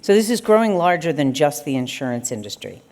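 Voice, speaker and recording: A middle-aged woman moderates an onstage conference conversation. She reads prepared questions in a formal way, and her voice sits very low for a woman. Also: sing-song, brisk, a little mellow. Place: conference stage.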